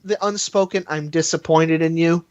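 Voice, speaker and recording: A podcast co-host in a casual, animated and argumentative fan discussion. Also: medium pitch, normal speed, no room sound, highs cut off.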